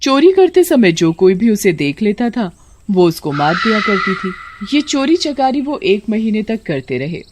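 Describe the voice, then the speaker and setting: A woman's voice: medium pitch, very animated, a little slow, heavy background noise. A woman narrating a scripted story as a storyteller.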